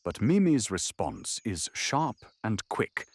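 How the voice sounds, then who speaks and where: A man's voice: fairly low pitch, big pitch swings, slow, a little hiss or hum. A man narrating an audio drama in a dramatic, scripted storytelling style.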